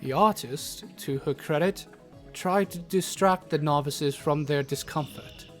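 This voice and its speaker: A man's voice: on the high side, measured pace. A man narrating a scripted fantasy audio drama in the first person, with formal storybook wording. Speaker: narrator, in character as a travelling doctor. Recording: music bed under narration.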